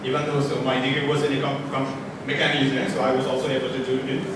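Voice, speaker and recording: A man's voice: medium pitch, completely flat delivery, very fast. A man in his forties from Nepal, giving a conversational guest lecture and quizzing the audience. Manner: conversational, asks the audience questions, many restarts. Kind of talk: informal classroom lecture. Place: large echoey lecture hall. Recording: echoey hall, distant mic, noise.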